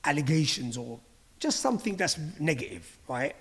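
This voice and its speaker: A man co-hosting a podcast, asking probing questions in an animated, admiring way. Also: on the high side, moderate pace, breathy edge. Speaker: podcast co-host. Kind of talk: casual interview chat.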